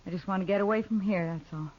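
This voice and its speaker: A woman's voice: mid-range voice, fast talker, natural voice. An American woman playing a weary, guarded, self-reliant frontier woman in an old Western radio drama, with plain, restrained delivery. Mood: hurt, resigned. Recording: dull vintage radio recording.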